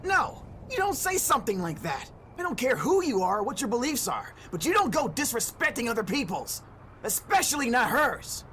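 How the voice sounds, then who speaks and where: A woman's voice: a little high, very animated, normal speed, a bit bright. A woman playing a fiery, protective young boy in an audio drama, brash and indignant. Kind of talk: amateur audio drama acting. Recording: faint background noise.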